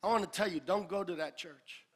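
A male pastor preaching a sermon, building to shouted peaks and dropping into joking asides. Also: high-pitched, a little fast, breathy edge. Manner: builds to shouted climaxes, then drops to a jokey aside. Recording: long hall reverb.

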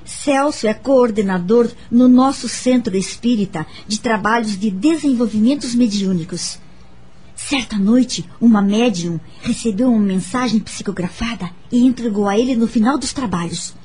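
A woman playing a gentle, wounded but forgiving adult daughter who recounts her family past in a radio drama, measured and heartfelt. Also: on the high side, husky. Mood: earnest, uneasy. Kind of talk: dramatised first-person narration, radio drama. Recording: background music under the voice.

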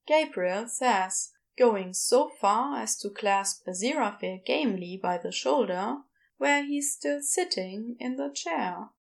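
A woman's voice: fairly high pitch, animated, slow pace, gravelly. A woman narrating scripted fiction as an audiobook storyteller.

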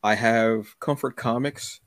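A man's voice: mid-range voice, fairly even intonation, slow, dry recording, studio quality. A man hosting a casual, conversational interview, often restarting his phrases and acting out little asides. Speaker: podcast host.

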